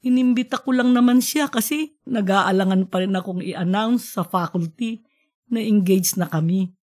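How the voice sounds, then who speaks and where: A woman's voice: medium pitch, normal speed, darkish tone, close to an everyday voice. A woman voicing a distraught, self-blaming woman professor in an audiobook story. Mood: regretful. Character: distraught, guilt-ridden woman professor drinking in a bar.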